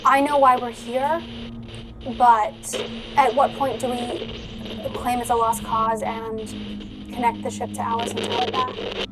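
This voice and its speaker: A woman's voice: fairly high pitch, level tone, a little slow, long pauses, music in the background, natural voice, rough recording. A woman playing an earnest, pragmatic ship's doctor in a tabletop game, direct in manner. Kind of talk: tabletop roleplay.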